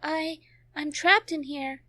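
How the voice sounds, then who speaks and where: A woman voicing a frightened, desperate trapped girl who pleads slowly in a visual novel. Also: sits high, fairly even intonation, bright, forceful tone, dry recording, full character voice. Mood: desperate pleading. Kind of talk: visual novel character dubbing.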